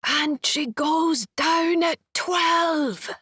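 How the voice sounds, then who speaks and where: A woman playing an amateur stage actress who declaims Shakespeare in a projected, deliberate voice. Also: very high voice, bright, forceful tone, no room sound, clean studio sound, heavily acted. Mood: stagey, deliberate.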